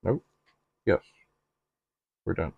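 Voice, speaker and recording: A middle-aged man narrating a casual hands-on demonstration, musing haltingly aloud to himself with droll, slightly formal turns of phrase. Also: phone-quality sound, background noise. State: frequent coughing and throat clearing. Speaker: retro computer hobbyist and video host.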